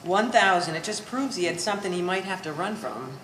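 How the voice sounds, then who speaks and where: A woman's voice: lowish voice, lively intonation, fairly quick, fairly bright tone. A woman playing a resentful, bookish adult daughter in a stage play, speaking with wordy sarcasm. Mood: dry. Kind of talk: live stage play acting. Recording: echoey hall, distant mic, faint noise.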